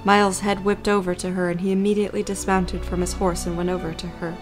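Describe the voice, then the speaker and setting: A woman reading scripted story narration for an audio drama in a measured, creaky voice. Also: on the low side, flat delivery, over music.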